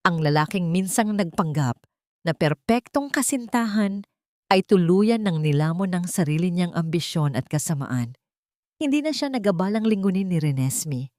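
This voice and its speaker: A woman's voice: on the low side, a little mellow, no echo. Woman narrating a romance drama, dry sound. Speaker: narrator of an audio love drama. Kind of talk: dramatic scripted story narration.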